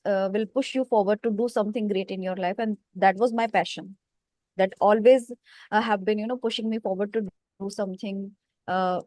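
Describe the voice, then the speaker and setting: A woman's voice: mid-range voice, a little mellow, no room sound. A woman, a teacher hosting a casual talk, speaking reflectively with many "you know" fillers. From India. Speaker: host, English teacher. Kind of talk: casual conversation practice.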